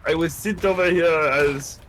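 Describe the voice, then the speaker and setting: A man's voice: a little high, normal speed, a little hiss or hum, natural voice. A man doing a brash Austrian soldier. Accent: put-on Austrian accent slipping to American. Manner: excitable, shouts battle cries. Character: eager young male Austrian soldier, brash and excitable. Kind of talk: in-character roleplay while gaming.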